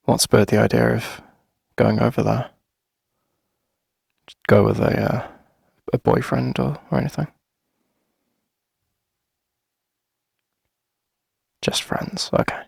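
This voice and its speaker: A man playing a shy, friendly, flirty coffee shop owner in a gentle ASMR roleplay, speaking hesitantly in a husky, breathy voice. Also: mid-range voice, no echo, clean studio sound, exaggerated voice. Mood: awkward, flirty. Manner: hesitant, gentle, halting with soft chuckles. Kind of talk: soft conversational ASMR roleplay.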